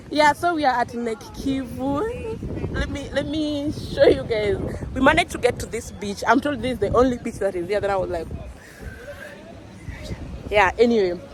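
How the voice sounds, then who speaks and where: A woman's voice: fairly high pitch, measured pace, pauses often, noisy background. A young woman vlogging her travels, chatting casually and laughing often, filming with a handheld camera outdoors. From Kenya. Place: outdoors on a moving boat and a beach.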